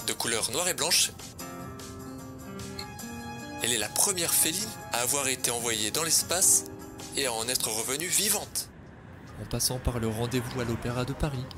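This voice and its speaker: A man narrating his own podcast story in a scripted, dramatic, expressive way. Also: medium pitch, moderate pace. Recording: music playing behind.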